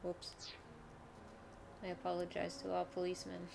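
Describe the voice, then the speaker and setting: A woman's voice: on the low side, completely flat delivery, moderate pace, vocal fry, background noise. A woman streaming a video game, chatting casually and reading game text aloud. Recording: game music behind the voice. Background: game music.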